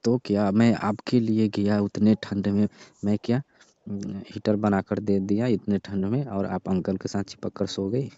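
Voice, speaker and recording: A man's voice: fairly low pitch, little pitch movement, moderate pace, dark tone, dry recording, close to an everyday voice. A man playing a sulky, distrustful young repairman who grumbles and complains in a staged comic phone call. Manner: complaining, grudging. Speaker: heater repairman. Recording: dull, dry lo-fi phone recording.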